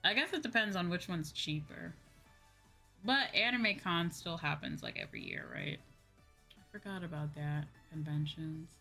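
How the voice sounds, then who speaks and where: A woman with a slightly low voice talks casually as a livestream host. She rambles and thinks aloud, often repeating a point as she goes. Faint music plays behind her. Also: big pitch swings, normal speed, vocal fry, bright, forceful tone. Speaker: livestream host and virtual streamer. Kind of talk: casual livestream chat with viewers. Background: background music.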